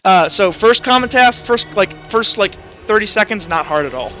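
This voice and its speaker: A man acting as game master in a tabletop roleplaying game, narrating off the cuff and calling for rolls in a halting, think-aloud way. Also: high voice, lively intonation, bright, forceful tone, muffled recording, soft background music.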